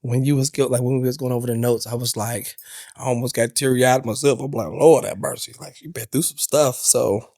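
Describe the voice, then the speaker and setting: A man hosting an interview, relaxed and colloquial, leaning into easy slang. His voice has a gravelly rasp at the bottom. The sound is dry and close, with no room around it. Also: medium pitch, fairly quick, dry recording. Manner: laid-back, chatty, colloquial. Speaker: podcast host. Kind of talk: casual interview.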